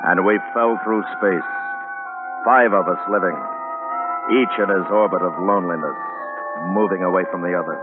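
Man as doomed spaceman narrator, lowish voice, brooding, poetic, vintage radio, tinny, loud music behind. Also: steady tone, moderate pace, natural voice. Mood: brooding, resigned. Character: reflective spaceman narrating his own doom. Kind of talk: dramatic first-person radio narration, mid-century.

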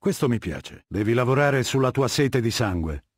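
Ruthless warlord boss from a video game, husky mid-pitched voice, measured and threatening, very dry sound. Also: male voice, lively intonation, dry recording. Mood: commanding. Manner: imperious, measured, menacing. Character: commanding, ruthless middle-aged male warlord dictator. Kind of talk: dubbed video game dialogue.

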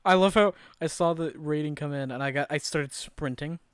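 A man's voice: fairly high pitch, normal speed, clear, resonant tone, no echo. A young man streaming a game at home on a headset, joking around, swearing and laughing with a friend. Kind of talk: casual gaming stream banter.

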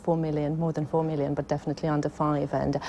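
Woman, thirties, deep mellow voice, earnest studio guest. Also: flat delivery, fast talker, slight background noise. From Georgia. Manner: earnest, explanatory. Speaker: journalist guest. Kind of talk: studio interview. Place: television news studio.

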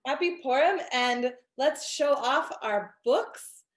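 A woman in her thirties with a high voice chats in a friendly, lightly scripted way for young listeners. She is on a video call from an echoey room. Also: slow pace. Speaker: children's author. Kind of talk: friendly scripted chat for children.

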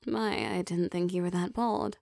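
A woman playing an affectionate, possessive vampire girlfriend in an intimate ASMR roleplay, speaking in a soft, teasing, coaxing manner. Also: medium pitch, fairly even intonation, normal speed, gravelly, dry recording, clean studio sound. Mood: relaxed, affectionate. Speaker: voice actor.

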